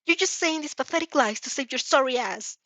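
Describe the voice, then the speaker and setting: A woman voicing an angry, shaken villain woman, loud and accusing, in a story reading. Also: sits high, lively intonation, brisk, no room sound, pitched above the performer's own voice.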